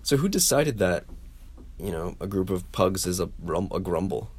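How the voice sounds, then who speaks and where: A man co-hosting a casual podcast chat with a sore, froggy throat and a dry, joking way of thinking out loud. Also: sits low, expressive, moderate pace, breathy edge. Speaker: podcast co-host.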